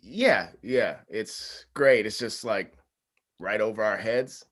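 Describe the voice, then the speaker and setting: Groom, male, sincere and hesitant, animated intonation, read by an actor at a table read. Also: fairly low pitch, slow pace, heavily acted. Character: hesitant, agreeable groom, quietly unsure.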